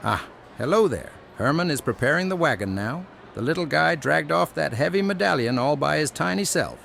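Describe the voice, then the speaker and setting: Chatty old fantasy elder, crackly high voice, kindly, some noise behind. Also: male voice, lively intonation, normal speed, creaky. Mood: cheerful, wondering. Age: older. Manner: folksy, rambling, reassuring. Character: kindly, chatty old gnome-folk elder who loves mushrooms. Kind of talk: dramatized fantasy audio story.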